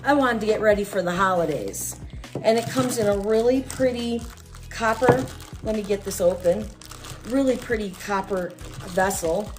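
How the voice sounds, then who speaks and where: A woman's voice: medium pitch, normal speed. A middle-aged American woman chatting casually as she hosts an unboxing vlog, her voice affected by a chest cold. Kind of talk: casual product review chat. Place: small room at home, at a table. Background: music.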